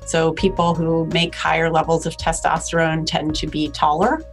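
Woman doc explaining stuff, very low gravelly voice, careful and hedgy, music under it. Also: steady tone, normal speed. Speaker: doctor interviewed as an expert. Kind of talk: expert interview.

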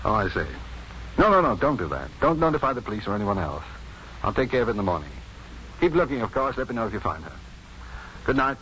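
Compassionate doctor, animated pitch, measured dry wit, old radio drama, muffled hissy sound. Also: male voice. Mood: concerned. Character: self-assured, compassionate male physician, dryly witty. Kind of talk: 1950s radio drama acting.

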